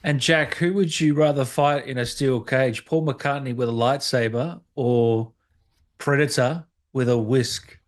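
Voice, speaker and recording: A man's voice: mid-range voice, fairly even intonation, measured pace. A man hosting an interview in a casual, chatty style. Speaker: interviewer, host. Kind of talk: casual chat.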